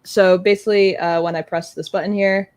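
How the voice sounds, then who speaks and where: A woman giving a casual live demo, thinking aloud in a halting way. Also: mid-range voice, steady tone, a little mellow. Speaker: guest demonstrating a music electronics project.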